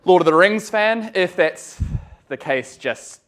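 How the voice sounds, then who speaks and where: A man with a New Zealand accent giving an academic law lecture in a relaxed way, with many ums and uhs and some dry humor. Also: high voice, slow pace. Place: lecture room.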